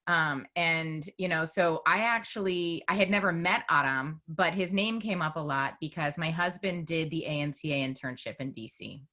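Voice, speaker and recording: A woman's voice: deep voice, normal speed, hardly any pauses, sharp tone. A woman in her forties from Northern California, a documentary filmmaker telling her story in a chatty, meandering way on a video call. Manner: chatty, storytelling, many "you know"s. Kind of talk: conversational panel answer.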